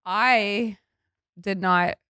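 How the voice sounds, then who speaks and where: A chatty middle-aged woman hosting a comedy podcast, talking at an easy mid pitch with plenty of fillers. She laughs often and sounds close and dry, with no room around her voice. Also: extremely slow, bright, forceful tone, clean tone, dry recording. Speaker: podcast host, stand-up comedian and teacher. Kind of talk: casual podcast chat.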